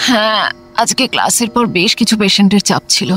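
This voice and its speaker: A woman's voice: medium pitch, animated, brisk, sharp tone, faint music behind. A woman playing a brilliant, feisty young doctor and anatomy professor in an audio drama. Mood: tired, irritated.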